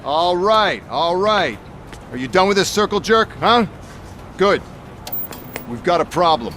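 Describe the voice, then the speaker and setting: An actor playing an abrasive, contemptuous boss in a video game, sarcastic and commanding. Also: male voice, high voice, very animated, measured pace, fairly bright tone, full character voice. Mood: irritated, barking. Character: abrasive, menacing male boss, contemptuous and bossy. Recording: clear background noise.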